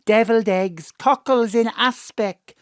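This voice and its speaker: An actor playing an elderly, cheeky South Wales mam in a comic audio drama, theatrical and full of exclamations. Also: male voice, high voice, a little slow, clean tone, no room sound. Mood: nostalgic.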